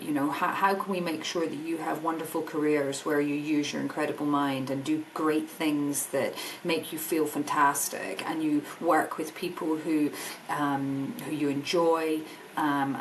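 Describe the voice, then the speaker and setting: A woman's voice: deep voice, steady tone, unhurried, a little hiss or hum, rough recording. A Scottish woman in a podcast interview, speaking earnestly and enthusiastically, repeating words as she thinks. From Scotland. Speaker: guest, energy business director.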